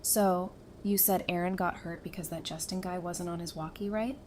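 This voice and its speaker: A woman playing a caring, casual survivor in an audio drama, speaking in an expressive, conversational way. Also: on the low side, normal speed, close to an everyday voice. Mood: apologetic, gentle. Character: caring, casual, warm-hearted female survivor. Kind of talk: fan audio drama acting. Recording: clearly noisy background ambience.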